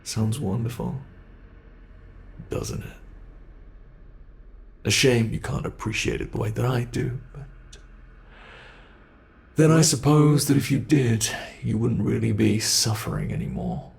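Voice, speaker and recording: A man playing a sadistic monster in an ASMR horror roleplay, taunting captive prey with slow, savoring menace and a gravelly, creaky voice. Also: mid-range voice, expressive, a little mellow. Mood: gloating. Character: sadistic male monster gloating over captive human prey.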